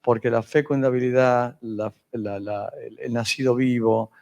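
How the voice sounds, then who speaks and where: A man's voice: medium pitch, fairly even intonation, measured pace, vocal fry, a little mellow. Hesitant northern Argentine man lecturing. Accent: northern Argentine Spanish. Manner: hesitant, many fillers and restarts. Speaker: fertility specialist lecturer. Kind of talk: medical lecture.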